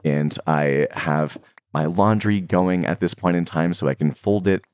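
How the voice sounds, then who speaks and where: Very deep-voiced man chatting casually. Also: measured pace, smooth. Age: young adult. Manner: relaxed, rambling, many fillers and soft laughs. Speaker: Minecraft content creator. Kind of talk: casual talk to viewers.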